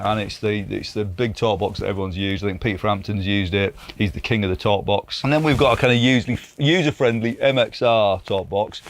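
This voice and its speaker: A British man presents guitar gear in a low-pitched voice. He talks casually and keenly, as if chatting with a mate. There is clear background noise with some clicks. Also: fairly bright tone. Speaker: presenter demonstrating guitar pedals. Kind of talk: casual gear review chat.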